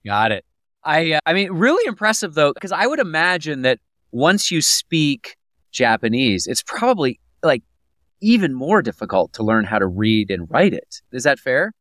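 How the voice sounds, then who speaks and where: A man's voice: a little high, sing-song, moderate pace, fairly bright tone, no room sound. A man hosting an interview podcast, chatty and friendly, with teasing asides. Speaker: podcast host. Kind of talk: casual interview conversation.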